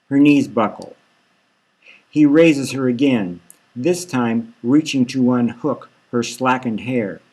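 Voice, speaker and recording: A man's voice: mid-range voice, a little mellow, rough recording. A man reading his own novel aloud as scripted audiobook narration.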